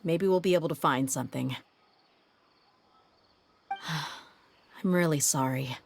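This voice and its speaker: A woman's voice: deep voice, a little slow, vocal fry, a little mellow, slight background noise. An actress playing a tough young woman mercenary in a video game, speaking in a cool, frank, matter-of-fact way. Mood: regretful.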